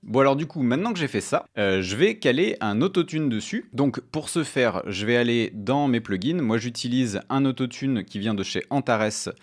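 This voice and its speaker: A man's voice: mid-range voice, expressive, normal speed, few pauses. Casual man giving a tutorial. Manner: informal, explanatory. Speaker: host of music production tutorials. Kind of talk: casual tutorial talk to camera. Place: small home studio room.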